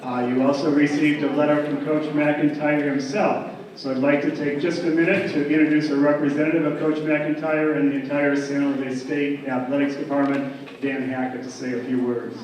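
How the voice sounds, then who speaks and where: A male emcee formally introduces speakers in a slightly high voice. He is picked up from a distance in a very echoey hall full of audience sound. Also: flat delivery, normal speed, darkish tone. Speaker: event emcee. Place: large echoing event hall with audience.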